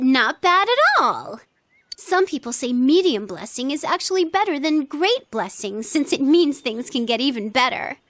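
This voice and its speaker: Anime game role: gentle, reserved teen girl, polite and earnest, very high voice, dry close recording. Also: no room sound, heavily acted. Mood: light, wry. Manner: polite, thoughtful. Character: earnest, reserved teenage girl, gentle, considerate, quietly stubborn. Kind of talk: anime video game voice acting.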